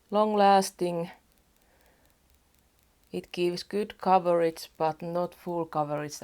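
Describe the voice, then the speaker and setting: A woman with a Finnish accent, chatting casually at home as a beauty vlogger, soft-spoken and rambling with small restarts. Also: on the low side. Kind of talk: casual get-ready-with-me chat. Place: home apartment.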